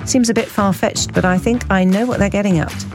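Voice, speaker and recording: A woman presenting a hospital radio show, chatting casually between tracks with ums and chuckles, her voice a bit croaky. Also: medium pitch, steady tone, a little fast, over music. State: slightly croaky.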